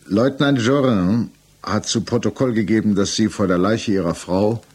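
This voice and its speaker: A deep, husky, accusing official. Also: male voice, darkish tone. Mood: grave, accusing. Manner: deliberate, formal, pausing for weight. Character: grave, accusing male legal official confronting a suspect. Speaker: actor in a radio crime drama. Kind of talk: 1960s German radio drama acting.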